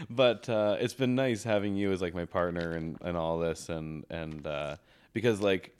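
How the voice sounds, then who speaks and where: A man's voice: low-pitched, unhurried, smooth. A man in his thirties co-hosting a podcast, chatting casually and rambling with many restarts. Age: 30s. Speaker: podcast co-host. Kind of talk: casual chat.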